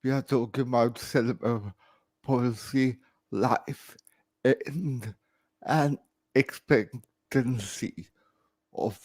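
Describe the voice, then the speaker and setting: Man in his fifties, very slow laboured delivery, halting short phrases, personal vlog talk, dry close sound. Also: mid-range voice, a little mellow, no room sound. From Sweden. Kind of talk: live personal talk to camera.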